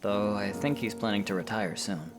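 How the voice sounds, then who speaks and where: A man's voice: fairly low pitch, moderate pace, music in the background, close to an everyday voice. A man playing a protective young knight hero in an audio drama, earnest and terse. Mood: matter-of-fact. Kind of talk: fan audio drama acting.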